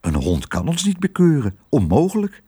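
Man putting on a high-pitched, spirited little girl. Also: moderate pace, slightly rough, full character voice. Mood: bold, firm. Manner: lively, direct, exclamatory. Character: young girl, spirited, anxious, plain-spoken daughter.

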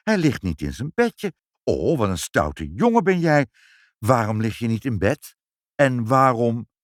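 A man's voice: mid-range voice, sing-song, a little slow, no room sound, studio quality. A man voicing an indignant, doting lady who protests emphatically in a children's story. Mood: shocked scolding. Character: indignant, doting mother, a proper lady in denial.

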